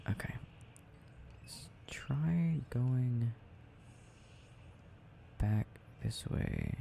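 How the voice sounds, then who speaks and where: Guy doing a sleepy sweet boyfriend rambling softly while gaming, really slow n murmury, bit of background noise. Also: medium pitch, vocal fry, exaggerated voice. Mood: sleepy, tender. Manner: murmuring, dropping into whispers, hesitant self-talk. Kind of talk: soft intimate ASMR roleplay, unhurried rambling.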